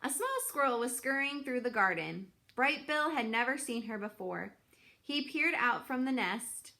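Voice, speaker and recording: A woman reads a children's story aloud. Her voice is fairly high and bright, and she narrates expressively, shaping phrases deliberately for drama. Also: animated. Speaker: children's book reader.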